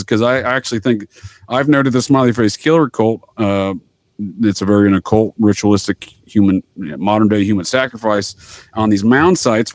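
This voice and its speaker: Low-pitched man telling conspiracy stories. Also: no echo. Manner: rambling storytelling, emphatic repetition, slangy. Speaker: podcast guest. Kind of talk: casual conspiracy talk.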